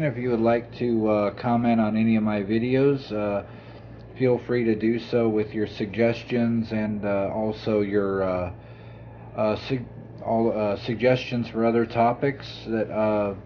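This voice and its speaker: A man hosting an informal storytelling show and reading aloud, in a halting way that stumbles over words. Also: on the low side, fairly even intonation, highs cut off, slight background noise. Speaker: host and story reader.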